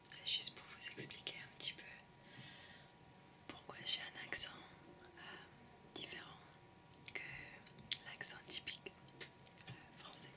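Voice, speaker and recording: Softly whispering young woman, English-tinged French. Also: a little slow, long pauses, far from the mic. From raised in English-speaking countries. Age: young adult. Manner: soft whisper, hesitant with many ums and euhs. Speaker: ASMR creator. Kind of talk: whispered ASMR ramble. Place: shared university student housing.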